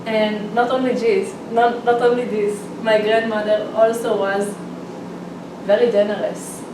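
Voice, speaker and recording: A woman's voice: mid-range voice, level tone. Woman from israel practicing a speech, stops and restarts a lot, mic kinda far off, room echo and some background hum. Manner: halting, with restarts and non-native grammar slips. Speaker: public speaking student. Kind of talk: rehearsing a personal speech. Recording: roomy, distant mic, background ambience.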